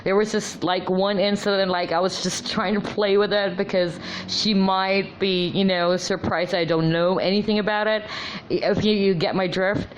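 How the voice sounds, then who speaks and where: A woman whose first language is Tagalog, talking casually with lots of ums and restarts; her low voice often gets her taken for a man. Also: little pitch movement, moderate pace, a little hiss or hum, rough recording. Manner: chatty, many ums and restarts. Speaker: vlogger. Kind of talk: casual monologue.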